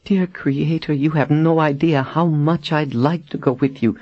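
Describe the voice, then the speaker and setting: A man's voice: fairly high pitch, animated, moderate pace, dull audio, voice pushed up. A man voicing a frightened, demure enslaved woman in an audiobook, pleading with urgency. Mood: anguished pleading.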